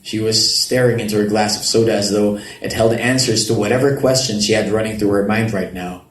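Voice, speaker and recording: A man reads romance fiction aloud as narrator at a live event. His voice sits on the low side. The space is very roomy, with noise behind him. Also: steady tone, a little fast. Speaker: narrator reading a novel excerpt. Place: roomy event venue at a public reading. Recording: echoey live venue, audible background noise.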